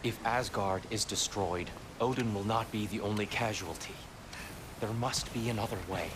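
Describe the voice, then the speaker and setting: An actor playing a weary, guilt-ridden war god in disguise in a video game cutscene, speaking in a measured, grave way and arguing against war. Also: male voice, fairly low pitch, long reverb tail, noisy background, lightly acted.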